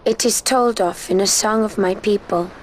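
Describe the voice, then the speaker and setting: An actress playing a calm, mysterious woman who recites an old song in solemn phrasing. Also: mid-range voice, moderate pace, slight background noise, close to an everyday voice, poor audio. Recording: game cutscene with soft music.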